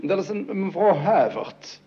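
A man's voice: high-pitched, sing-song, moderate pace, dark tone. An actor playing a flustered, officious male family doctor who stammers, hesitates and corrects himself in formal speech, in a radio comedy. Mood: officious, hesitant. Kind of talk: Dutch radio comedy drama acting. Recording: dull, noisy old radio recording.

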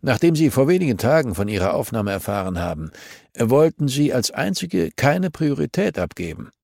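A man's voice: medium pitch, sing-song, moderate pace, creaky, a little mellow, dry recording, voice pushed up. A male narrator plays a domineering older police chief in an audiobook thriller. He growls in short, commanding questions, as if conducting an interrogation, and the sound is close and dry. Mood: stern.

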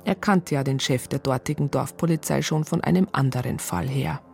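A woman with a husky voice reading scripted narration for a radio drama. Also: sits low, normal speed, darkish tone, faint music behind.

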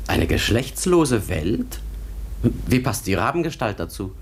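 A man playing a curious, pedantic scholar in a radio play, polite and hesitant, with a husky voice. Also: medium pitch, sing-song, measured pace, slight background noise. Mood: intrigued, probing. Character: pedantic, curious scholar demanding scientific precision.

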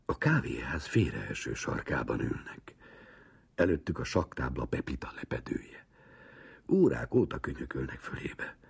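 A man acting as a wry, observant storyteller in a dramatic literary reading, with a breathy rasp and a slow, theatrical, sometimes hushed manner. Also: rumbling low, no echo, full character voice. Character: wry, observant male storyteller in a café sketch. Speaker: actor reading a literary sketch.

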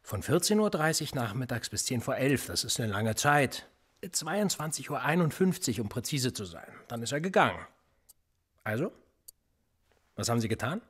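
An actor playing a cold, precise police interrogator in a radio play, pedantic and insinuating. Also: male voice, mid-range voice, sing-song, normal speed, a bit bright, slightly rough. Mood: probing. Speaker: police officer interrogating a suspect.

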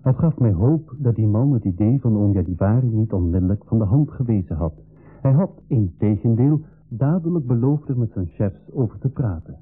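A man's voice: fairly low pitch, lively intonation, moderate pace, husky, dry recording. A man playing an earnest narrator who recounts his own adventure in a scripted radio play. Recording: dull old radio recording with music.

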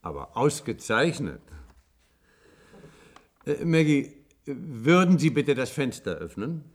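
A man's voice: medium pitch, very animated, slow pace. A man playing an uneasy professor in a radio science-fiction drama, polite but insistent, with clipped questions. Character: uneasy male professor, indignant guest who turns captive.

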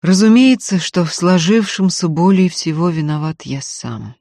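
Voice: medium pitch, expressive, normal speed, darkish tone, dry recording, pitched above the performer's own voice. A narrator playing a grave, guilt-ridden but firm landowner in a dramatic audiobook. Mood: heavy, resolute. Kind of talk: dramatic audiobook character reading.

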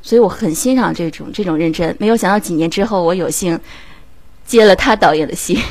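A woman's voice: lowish voice, brisk, darkish tone, no echo. A woman guest speaking reflectively and earnestly in a casual online chat. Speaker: amateur voice actress, guest.

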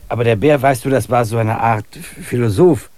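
A man's voice: mid-range voice, normal speed, darkish tone, no echo. A man in a classic radio play speaking earnestly, musing on dreams and reality in a philosophical tone. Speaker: main character and reflective narrator.

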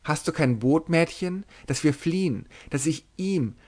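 A man's voice: fairly high pitch, expressive, a little slow, a little mellow, pitched above the performer's own voice. A man reading a storybook, voicing a trembling young noble lady who pleads anxiously and devoutly. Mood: urgent pleading. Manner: stammering, fearful, fervent pleading. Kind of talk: dramatic literary audiobook reading.

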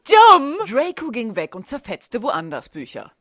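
A woman's voice: on the low side, expressive, normal speed, no echo. Dry-sounding female comedic narrator. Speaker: narrator and author of a fan audio play. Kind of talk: scripted comedic narration of a fan parody.

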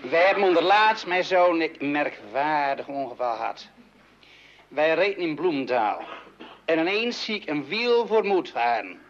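A man's voice: fairly high pitch, very animated, measured pace, lo-fi sound, slight background noise. A man playing a genial, meddling adviser in a radio play, rambling and pedantic as he hands out tips. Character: long-winded, meddling know-all adviser, a genial official.

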